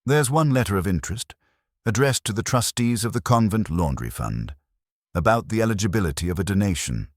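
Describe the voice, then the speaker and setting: A male narrator puts on a prim woman clerk from a storybook mystery. The delivery is formal and precise, lifted in pitch and swooping in lively fashion, with a slightly husky grain. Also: a little fast, no room sound, clean studio sound. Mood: crisp, businesslike. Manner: precise, formal, without preamble. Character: prim, efficient female civic records clerk. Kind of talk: audiobook detective story dialogue.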